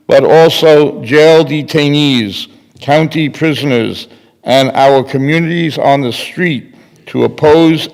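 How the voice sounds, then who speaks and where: A man's voice: a little high, a little mellow. An older man reading a script aloud at a lectern. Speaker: reader in readers theater. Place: large temple hall, at lectern. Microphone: microphone on stand. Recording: echoey hall.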